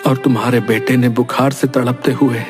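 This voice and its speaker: A man playing an earnest young prince humbled by hardship, speaking dramatically in an animated moral story. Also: medium pitch, moderate pace, over music, pitched above the performer's own voice, close to an everyday voice. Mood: remorseful.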